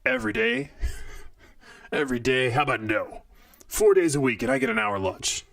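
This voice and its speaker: A man's voice: on the high side, wildly swooping pitch, normal speed, gravelly, a bit bright, dry recording, clean studio sound, recorded from a distance, heavily acted. A man voicing a stubborn, touchy robot coffee maker in an audio drama, sardonic and combative. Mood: indignant.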